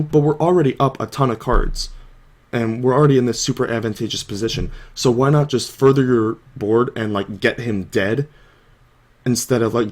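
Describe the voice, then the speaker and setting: A man's voice: mid-range voice, darkish tone. A young man with a sore throat, casually talking through a card game match over the footage, thinking aloud with lots of "like" and "you know". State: sore throat, coming down with a cold. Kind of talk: casual video commentary.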